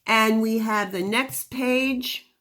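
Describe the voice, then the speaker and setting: A woman teaching a phonics lesson to young children, giving deliberate instructions and sounding out words. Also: a little high, fairly even intonation, fairly bright tone.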